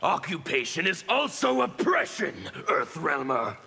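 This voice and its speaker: An actor voicing a bitter, grandiose demon revolutionary with a harsh rasp in a video game. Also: male voice, fairly high pitch, sing-song, pushed and bright, heavily acted. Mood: bitter defiance. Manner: fierce, grandiose, slow. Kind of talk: video game villain dialogue.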